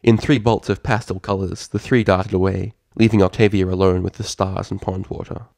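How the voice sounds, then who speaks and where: A man reading a story aloud as narrator, with a dramatic and expressive delivery. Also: deep voice, moderate pace, vocal fry, no echo, clean studio sound. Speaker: fan fiction narrator.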